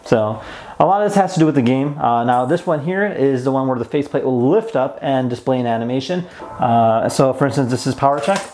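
A man's voice: medium pitch, expressive, fairly quick, a little mellow. A young man hosting a casual product demonstration as a toy reviewer. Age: young adult. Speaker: tech toy reviewer and host.

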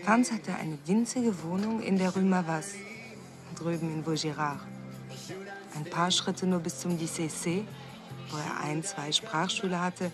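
An actress playing a wistful woman in a radio drama, recalling a friend of her youth in halting fragments. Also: lowish voice, natural voice. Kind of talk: German radio drama acting. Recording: music under the voice.

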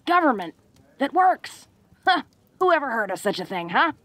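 A woman playing a tough but awkward, affectionate young orc woman in an intimate roleplay audio drama, talking in a folksy, halting way. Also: sits high, very animated, a bit bright, no room sound, heavily acted. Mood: excited, proud. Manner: folksy, halting tough-girl talk with small chuckles.

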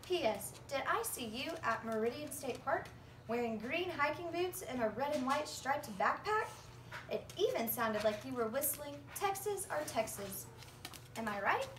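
A woman's voice: high voice, lively intonation, a little slow. A woman voicing eager, earnest young children reading out their letters in a storybook. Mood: eager, curious. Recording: roomy, distant phone recording with faint noise.

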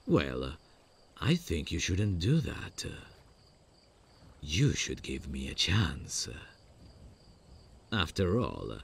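A man playing a smug, infatuated stalker in a horror parody roleplay, coaxing and halting. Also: a bass voice, vocal fry, husky, exaggerated voice. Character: creepy, infatuated male stalker, smugly coaxing.

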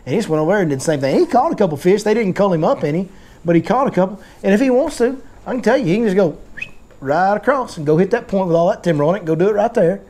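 A man, hosting a casual fishing seminar and talking in animated bursts in a tackle shop. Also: high voice. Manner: animated, gestures a lot, fast bursts. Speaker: fishing guide and seminar host. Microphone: lapel mic.

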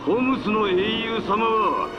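An actor playing a sadistic giant war machine in a game, with coarse, sneering, gloating taunts. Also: male voice, high voice, animated, highs cut off. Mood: mocking. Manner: sneering, coarse rough-talking, drawn-out taunts. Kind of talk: video game cutscene voice acting. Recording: loud music over the voice.

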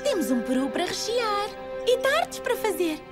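A woman's voice: very high voice, sing-song, normal speed. A woman with a European Portuguese accent voicing an anxious, pleading young theatre worker in a cartoon dub. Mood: protesting. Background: loud music.

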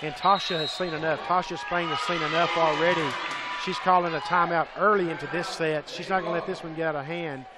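Male play-by-play announcer, excitable, fairly high voice, shouting on big plays, loud crowd and gym noise behind. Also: fairly quick. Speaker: play-by-play commentator. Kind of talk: college volleyball play-by-play.

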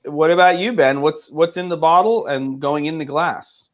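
A man in his forties hosting a relaxed, joking chat over a video call from a room at home. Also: fairly high pitch, moderate pace, muffled recording. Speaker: host. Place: home room with curtains and lamp.

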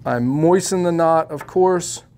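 Middle-aged male shop instructor, slightly raised pitch, chatty and opinionated, filler-heavy delivery, clean lapel sound. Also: unhurried, a little mellow, a little hiss or hum. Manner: folksy, opinionated, lots of ums and uhs. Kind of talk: casual tutorial to camera. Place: fly shop studio set, indoors. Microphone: lapel mic.

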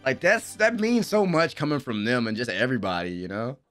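Highly animated young American man reacting. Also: a little fast, soft background music. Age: young adult. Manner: animated, slangy, exclamatory. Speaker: reaction video host. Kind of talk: excited live reaction commentary to anime. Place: small room at home. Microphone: close desk microphone with headphones.

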